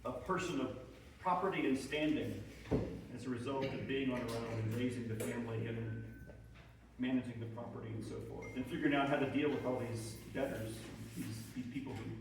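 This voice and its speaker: A man presents an informal history talk with a mid-pitched voice. He explains things reflectively, as in a discussion with listeners. The room is very echoey and he is picked up from a distance. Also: fairly even intonation, moderate pace. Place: indoor hall with an audience. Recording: very echoey room, distant mic, faint noise.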